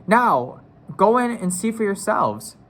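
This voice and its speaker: A man voicing an earnest, excited young girl who speaks with pleading emphasis in a storybook read-aloud. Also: high voice, slow pace, voice pushed up, exaggerated voice. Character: earnest, excited young girl Lucy, insisting she's truthful.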